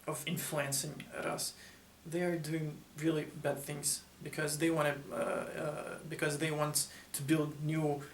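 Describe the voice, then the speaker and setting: A man in his twenties, born in Russia and raised in Ukraine, speaking English as a second language, answering interview questions haltingly with many filler sounds in a hotel room. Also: on the high side, flat delivery. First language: not English. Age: 20s. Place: hotel room, seated, indoors. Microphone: camera mic from across the room. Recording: roomy, distant mic, faint room hum.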